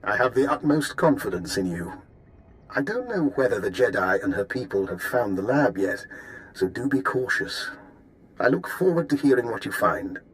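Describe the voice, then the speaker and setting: A male actor playing a genteel, calculating imperial intelligence fixer in a video game, speaking in a measured, courteous, formal way. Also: medium pitch, very animated, close to an everyday voice, rough recording. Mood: courteous, dry. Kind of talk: scripted game cutscene dialogue.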